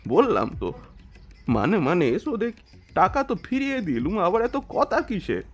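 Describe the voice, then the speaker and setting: A fiery, obstinate poor villager for an audio story. His voice is fairly high and raspy, and he speaks in blunt, agitated bursts. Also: very animated, moderate pace, soft background music, heavily acted. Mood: defiant anger. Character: stubborn hot-tempered poor villager, fiercely defiant.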